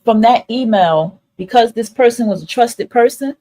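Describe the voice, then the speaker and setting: A woman's voice: fairly high pitch, lively intonation, normal speed, dark tone. A woman host talking casually and candidly to her listeners in an emphatic, repetitive, motivational monologue, swearing freely. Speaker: host of a spiritual advice livestream.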